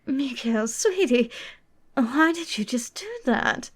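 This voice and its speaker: A woman acting a sweet-talking but sharp-tongued goddess in a fantasy audio drama, with a pointed, condescending manner. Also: sits high, animated, takes it slowly, husky, full character voice. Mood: exasperated.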